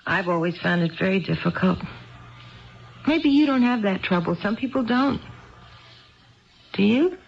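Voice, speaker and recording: A woman's voice: mid-range voice, expressive, measured pace, vocal fry, long pauses, highs cut off. A woman playing a confused, insecure, chatty wife in a 1970s radio drama, hesitant and forever seeking reassurance. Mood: chatty, unsure. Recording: band-limited 1970s radio broadcast, faint music.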